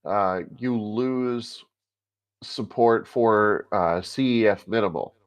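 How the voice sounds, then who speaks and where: A man with a stuffy, congested voice, co-hosting a casual tech podcast over a remote call and pausing often with filler sounds. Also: lowish voice, clear, resonant tone. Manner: halting, many filler sounds. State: congested from a cold. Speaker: podcast co-host.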